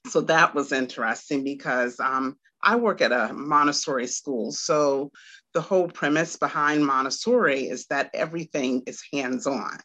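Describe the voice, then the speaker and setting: A woman from Washington, D.C., a Montessori teacher, answering interview questions in an unhurried way with frequent pauses. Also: rumbling low, bright, forceful tone. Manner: unhurried, with many "um" pauses and small laughs.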